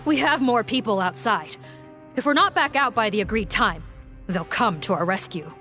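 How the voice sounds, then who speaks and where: A woman's voice: mid-range voice, lively intonation, normal speed, sharp tone, faint music behind. An actress playing a stern female captain who gives orders and speaks with authority in a video game. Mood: wry.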